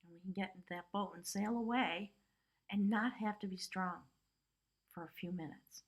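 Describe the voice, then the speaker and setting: A woman's voice: medium pitch, moderate pace, slightly rough. A woman giving a casual spiritual tarot reading, talking chattily with lots of "you know" and "right?" asides. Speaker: tarot reader.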